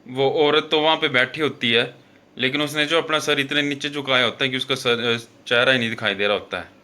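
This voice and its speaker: A man hosts a horror show and tells the tale in a loose, conversational style. His voice sits at an average pitch with a very sharp, forceful brightness. He leans on repeated filler phrases and often corrects himself as he goes. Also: a little fast. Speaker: host and storyteller of a horror show. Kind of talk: informal horror storytelling narration.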